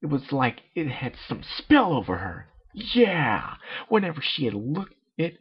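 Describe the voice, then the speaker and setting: A man's voice: mid-range voice, huge pitch swings, a little slow, husky. A man reading a story aloud as an amateur, with sarcastic, joking commentary. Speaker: amateur fanfiction reader. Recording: dull lo-fi home recording.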